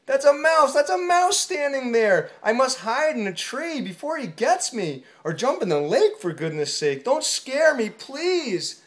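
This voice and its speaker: A woman's voice: high voice, big pitch swings, unhurried, hardly any pauses. A woman voicing a big fretful male elephant in a storybook, pleading in sing-song rhyme. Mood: anxious.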